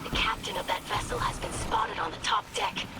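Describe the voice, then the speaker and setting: A woman's voice: medium pitch, flat delivery, moderate pace, lightly acted. A woman playing a polite, earnest young alien scribe in a video game, courteous and precise. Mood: cautioning. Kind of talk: video game character acting. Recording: voice under loud music.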